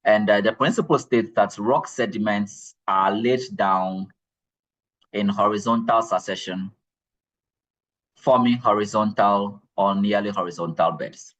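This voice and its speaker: Male lecturer, low and breathy, patient and repetitive classroom delivery. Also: unhurried, fairly bright tone, low-quality recording. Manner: repeats key points, checks in with "all right?". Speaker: geology lecturer.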